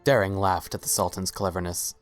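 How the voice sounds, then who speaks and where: A man's voice: low voice, steady tone, fairly quick. A man giving a scripted dramatic reading as the narrator of a story. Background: faint music.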